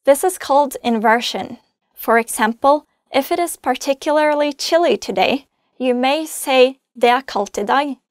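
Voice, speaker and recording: A woman from Norway presenting a scripted language lesson, speaking clearly and patiently as she spells out word forms. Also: high-pitched, normal speed, dry recording, studio quality. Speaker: language teacher.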